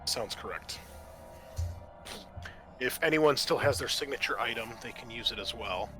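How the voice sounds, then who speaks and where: Casual male game master, bright mid-range voice, tabletop session, light music bed. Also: medium pitch, animated, moderate pace.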